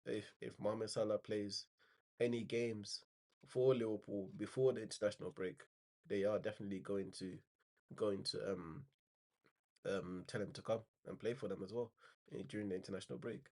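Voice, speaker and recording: A man's voice: lowish voice, fairly even intonation, normal speed, a little mellow. A man with a slight cold and a bit nasal, casually running through football news in a rambling way with many fillers and restarts. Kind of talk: casual spoken news roundup.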